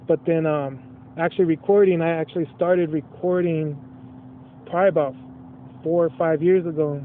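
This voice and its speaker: A man's voice: on the high side, fairly even intonation, moderate pace, slight background noise. A man from Los Angeles, a rapper guest talking candidly in a casual interview, streetwise and swearing freely. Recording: tinny phone-like line.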